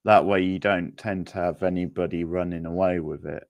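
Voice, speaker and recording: A man casually hosting a live quiz, reading out questions, options and scores in a halting way. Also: sits low, fairly even intonation, dry recording, highs cut off. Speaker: quiz host.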